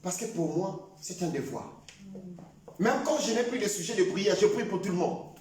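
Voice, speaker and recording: A male pastor preaching in a very high, strained voice that often rises to a shout. He is heard from a distance in a big, echoey hall, with the sound ringing around the room. He acts out sample prayers as he speaks. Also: animated, moderate pace. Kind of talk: sermon.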